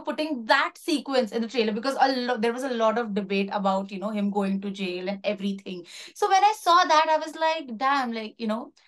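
A young Indian-accented woman hosts an entertainment interview over a video call. Her voice sits a little high, and she talks in a casual, chatty way with slangy asides. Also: fairly bright tone.

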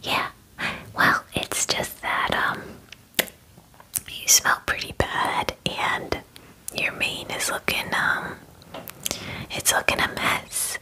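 A woman in her twenties whispering an ASMR roleplay as a friendly werewolf groomer, in a breathy, hesitant, chatty way, close to the microphone. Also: takes it slowly, long pauses, sharp tone, low-quality recording. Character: friendly young female werewolf salon groomer. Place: small indoor room at home. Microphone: close microphone, face near camera.

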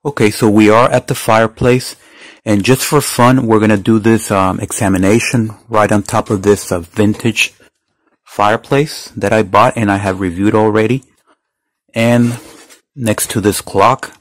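Low-voiced man casually demonstrating a gadget. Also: fairly even intonation, measured pace, no room sound. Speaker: product reviewer. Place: indoors at home. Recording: faint background noise.

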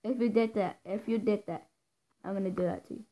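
A woman chatting casually while playing a pool game, excitable and quick to squeal and cheer at shots. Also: mid-range voice. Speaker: gameplay co-player. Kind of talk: casual chat over a game.

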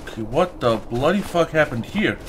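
A man's voice: on the high side, lively intonation, moderate pace, slight background noise. A man doing casual gameplay commentary, muttering to himself in short bursts. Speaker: let's play streamer.

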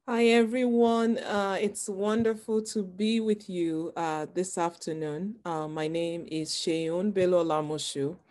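Measured Nigerian woman on a video call. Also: lowish voice, fairly even intonation, a little mellow. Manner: measured, reflective, many fillers. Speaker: panel speaker. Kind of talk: webinar self-introduction. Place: home or office on a video call.

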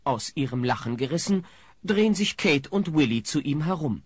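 Husky, animated male storyteller. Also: fairly high pitch, normal speed. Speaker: narrator. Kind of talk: scripted audio play narration.

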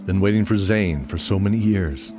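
A man with a very low voice tells a scripted fantasy tale. He serves as the narrator of an audio drama. Music plays clearly behind him. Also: normal speed. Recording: music under the voice.

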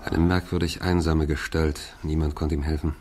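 The solemn narrator of a radio courtroom mystery. His voice is very deep and husky, and he reflects gravely in literary phrases. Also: little pitch movement, moderate pace, darkish tone. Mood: solemn, sombre. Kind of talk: scripted narration in a radio play.

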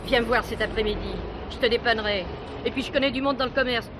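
High-voiced, earthy market woman played by an actress. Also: quick pace, close to an everyday voice. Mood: coaxing. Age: middle-aged. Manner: familiar, slangy, maternal. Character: earthy, motherly market woman, blunt and teasing. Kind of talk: French radio drama acting. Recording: noisy market hall with crowd and vehicles.

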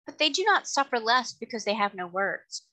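A woman voicing a kind, gentle lady who speaks politely and earnestly in a storybook reading. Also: fairly high pitch, big pitch swings, moderate pace. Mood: gently persuasive. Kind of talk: audiobook character reading.

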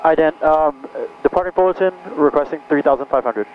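A man's voice: a little high, a little fast. A man making pilot radio calls in slightly hesitant aviation phraseology over an aircraft radio. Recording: muffled aircraft radio with engine noise.

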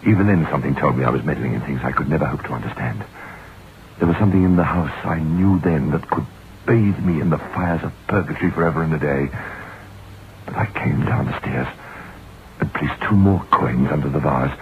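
An actor playing a troubled, curious writer who recounts his dread in a deliberate, suspenseful old-time radio horror monologue. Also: a bass voice. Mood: grave dread. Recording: muffled, noisy vintage radio recording.

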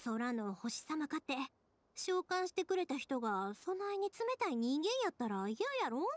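Earnest, high-voiced Kansai young woman. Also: moderate pace. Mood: earnest, gentle. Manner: earnest, expressive, folksy. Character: cheerful, kind-hearted young woman, earnest and caring. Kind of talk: anime game dialogue acting.

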